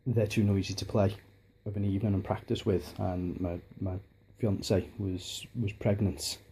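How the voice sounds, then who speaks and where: A man in his thirties from Liverpool, chatting casually on a home vlog with a softened Liverpool accent, rambling with many restarts and ums, filmed on a handheld camera in his dining room. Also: sits low, little pitch movement, measured pace, a little hiss or hum, poor audio. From Liverpool, England. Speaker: hobbyist vlogger. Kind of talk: casual home video chat.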